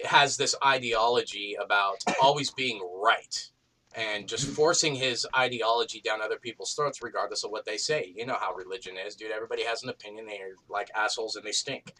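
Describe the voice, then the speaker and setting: A man's voice: fairly low pitch, fairly quick, pushed and bright. A man hosting a casual, crude round table chat, rambling and repeating himself as he goes. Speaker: round table show host. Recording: distant noisy mic with clicks.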